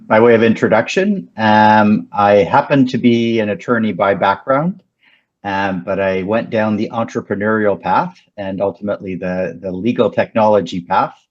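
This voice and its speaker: A man in his fifties whose first language is French, speaking as a guest in a casual interview in a measured way, with frequent ums and restarts. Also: lowish voice, lo-fi sound. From Canada. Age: 50s. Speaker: guest, company founder.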